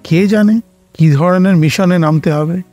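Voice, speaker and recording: Guy doing a goofy middle-aged uncle, highish voice, takes his time, playful audio drama, music under. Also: mellow. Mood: playful, jolly. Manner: playful, chuckling, drawn-out talk. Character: jolly, joking middle-aged uncle, fun-loving sidekick. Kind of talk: Bengali audio drama acting.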